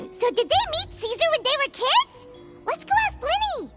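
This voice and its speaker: Little fairy girl, extremely high-pitched, excitable, inquisitive, animated video game character, music clearly behind. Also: unhurried, full character voice. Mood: curious. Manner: inquisitive, refers to herself in third person. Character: small, excitable childlike floating fairy companion girl.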